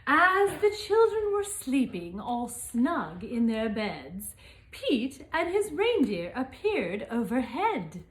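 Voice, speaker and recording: A woman's voice: fairly high pitch, big pitch swings, takes it slowly, poor audio. A woman storyteller in the role of Mrs Claus, reading a picture book aloud to young children with chatty asides.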